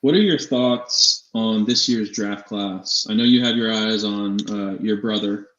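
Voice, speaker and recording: Low voiced guy cohosting a sports chat show, repeats himself, bit muffled and roomy. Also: a little slow, fairly bright tone. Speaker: co-host of a fantasy sports show. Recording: slightly dull, echoey room sound.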